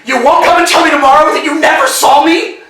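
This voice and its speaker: An actor playing an anxious, philosophizing tramp in a staged reading, swinging from hushed musing to emphatic outbursts. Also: male voice, very high-pitched, fast. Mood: pressing. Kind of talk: amateur staged play reading. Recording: echoey room, distant mic.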